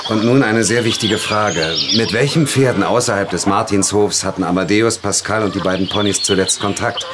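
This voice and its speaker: An actor playing a careful, serious horse veterinarian in an audio drama, measured and instructive. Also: male voice, lowish voice, very noisy, lightly acted. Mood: serious, firm.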